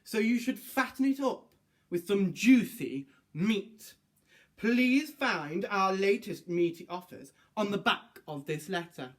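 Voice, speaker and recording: Casting: male reader as a hungry storybook butcher, drawling very slowly and relishing every word. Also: sits high, animated. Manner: slow, savouring, drawn-out words. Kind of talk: children's storybook read-aloud.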